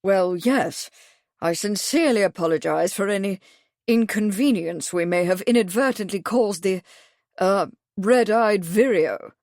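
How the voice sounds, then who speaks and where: A woman's voice: mid-range voice, unhurried, darkish tone, dry recording, natural voice. A polite young man, flustered and stumbling over his apologies, played by a woman narrator in a voice close to her own. He sounds hesitant and eager to please. The recording is dry and close.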